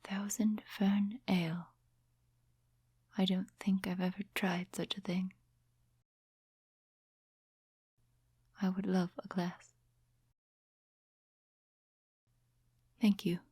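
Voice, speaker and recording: A woman playing a composed, courteous, quietly mysterious witch in a soft-spoken ASMR fantasy roleplay, with a whispery, breathy voice and gentle, formal, unhurried phrasing. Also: medium pitch, dry recording, studio quality. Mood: content, curious.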